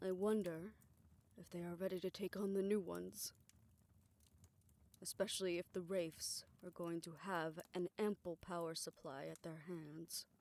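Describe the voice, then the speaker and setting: A woman playing a weary, affectionate older woman in an intimate romantic audio roleplay, speaking softly and reflectively in a measured way. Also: fairly low pitch, fairly even intonation. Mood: apprehensive, thoughtful.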